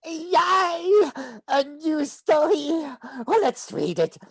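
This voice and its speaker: A tiny, excitable cartoon creature, squeaky and pitched way up. It sounds childlike, sweet and eager, close and dry. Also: female voice, drawn-out words, dry recording, exaggerated voice. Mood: excited. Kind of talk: animation script table read.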